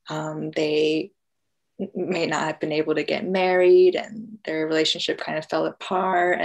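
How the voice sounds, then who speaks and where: An American woman giving thoughtful, halting explanations as an author interviewed about her book. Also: deep voice. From United States. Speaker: author and journalist being interviewed. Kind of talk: conversational book talk interview.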